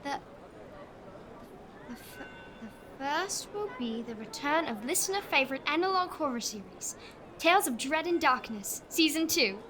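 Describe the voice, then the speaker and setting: Cheeky, impulsive young pickpocket, very high and animated, comic audio drama. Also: female voice, normal speed, background noise. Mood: eager, halting. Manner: playful, cheeky, theatrical. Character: Ruby, cheeky, impulsive young female pickpocket, barely literate.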